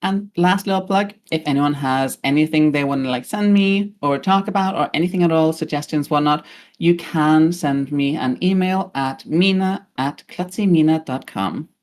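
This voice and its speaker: Low-voiced chatty woman from Norway. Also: moderate pace, a little mellow. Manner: chatty, laughs easily, plugs her store. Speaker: podcast guest, streamer. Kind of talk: casual podcast chat.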